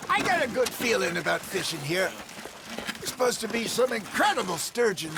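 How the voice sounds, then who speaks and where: An old American outlaw in a game, with a high-set voice. He sounds knowing and wry, gently mocking as he goes. Boat and water noise is clearly behind him. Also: very animated, normal speed, full character voice. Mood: knowing, easygoing. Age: older. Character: wry, quick-witted old male outlaw and fisherman. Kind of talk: video game cutscene acting.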